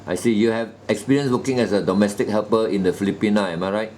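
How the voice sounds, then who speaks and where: A man's voice: fairly low pitch, quick pace, darkish tone, slight background noise. A man conducting a job interview, probing with questions and repeating the answers back, often trailing off with "ah" and "lah". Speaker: recruitment interviewer.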